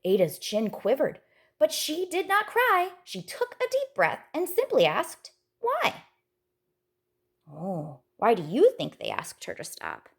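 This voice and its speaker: Very animated woman reading a storybook. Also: a little high, unhurried, gravelly, slightly rough. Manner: dramatic, emphatic. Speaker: children's read-aloud storyteller. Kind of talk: expressive rhyming picture-book narration.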